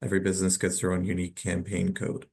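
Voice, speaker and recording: A man answering questions as a telecom expert on a webinar, explaining informally and haltingly, often repeating words. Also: low-pitched, monotone, gravelly, darkish tone, husky.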